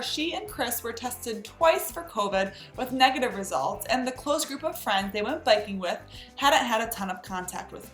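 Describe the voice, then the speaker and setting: A woman hosts an entertainment news recap in a high, very lively voice. Her scripted delivery is chatty and emphatic. The sound is roomy, with music underneath. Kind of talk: scripted gossip recap narration.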